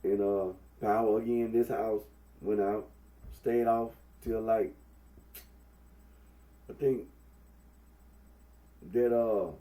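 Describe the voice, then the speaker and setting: A young man from with a head cold, talking through a face mask in a rambling, halting monologue full of fillers. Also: on the low side, fairly even intonation, warm tone. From Memphis, Tennessee. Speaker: vlogger. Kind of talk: casual Facebook Live monologue. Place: indoors at home. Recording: echoey room, mic at a distance.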